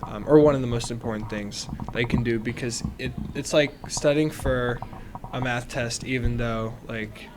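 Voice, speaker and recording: Man, mid-pitched voice, rambling co-host, heavy noise in the recording. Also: fairly even intonation, normal speed. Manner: rambling, thinks aloud with fillers. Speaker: student co-host. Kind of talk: casual interview.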